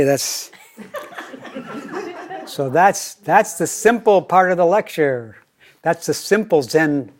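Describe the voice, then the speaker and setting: An older American man giving a Zen dharma talk, playful and deliberate, often slowing down and repeating his phrases. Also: a little high. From United States. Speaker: Zen teacher.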